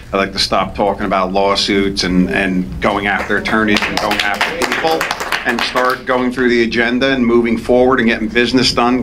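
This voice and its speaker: A man on a township council giving a measured, earnest statement. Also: lowish voice, bright, forceful tone, slight background noise, rough recording. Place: township council meeting room.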